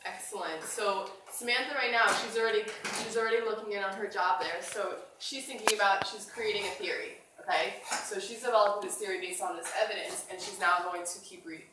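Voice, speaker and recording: A woman's voice: medium pitch, fairly even intonation, brisk, hardly any pauses. A woman teacher leading a classroom read-aloud discussion, encouraging and prompting with questions. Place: indoors, classroom. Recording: echoey room from far off, faint noise.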